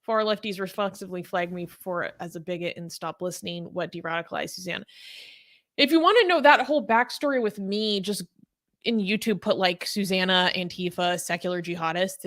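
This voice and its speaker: A woman co-hosting a casual news discussion, chatty with many fillers, reading stories aloud and reacting with animated commentary. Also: a little fast. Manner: animated, rises in pitch when outraged.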